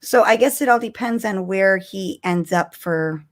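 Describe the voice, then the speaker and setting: A middle-aged woman co-hosting a live podcast, chatting casually with an animated, giggly and excitable manner. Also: medium pitch, unhurried. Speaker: podcast co-host. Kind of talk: casual fan chat on a live stream.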